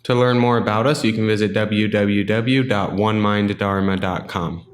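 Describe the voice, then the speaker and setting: A man leading a guided meditation in a gentle, unhurried, instructional way, leaving long pauses between phrases. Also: on the low side, steady tone, echoey room.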